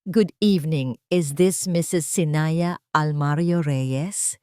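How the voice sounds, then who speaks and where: A woman's voice: low-pitched, slow, a little mellow, clean tone, dry recording, studio quality. A woman playing a polite but pointed tabloid reporter in a drama story, with a Filipino accent. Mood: probing politely.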